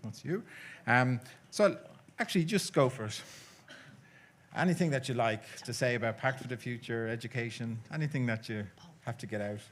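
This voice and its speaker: A man moderating a conference panel under time pressure. He sounds hurried and hesitant, filling gaps with ums, and his voice has an ordinary middle pitch. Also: slight background noise. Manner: hurried, hesitant, many fillers. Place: conference hall.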